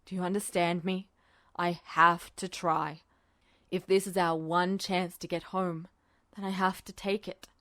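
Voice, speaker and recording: Audiobook role: woman playing a grave male officer, low, hushed, pleading, remorseful but resolute, recorded dry. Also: flat delivery, a little slow, no echo. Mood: desperate, resolute.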